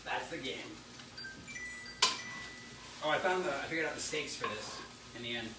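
A man's voice: medium pitch, moderate pace. A man in his thirties chatting casually and teasingly while cooking at home. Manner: playful, teasing. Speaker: co-host of a home cooking vlog. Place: home kitchen, indoors. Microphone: camera across the room. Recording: roomy, noisy kitchen, distant mic.

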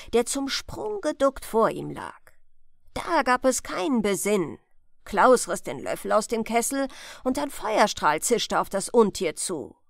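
A woman reading a fairy tale for bedtime in an expressive, dramatic storytelling manner. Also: fairly high pitch, gravelly, dry recording, clean studio sound. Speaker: audiobook narrator.

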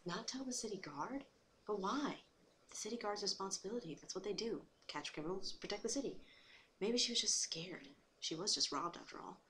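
A woman's voice: mid-range voice, animated, fairly quick, sharp tone. A woman voicing an earnest, dutiful pony who reasons aloud. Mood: earnest, puzzled. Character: earnest, dutiful law-abiding cartoon pony citizen. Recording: noisy home recording.

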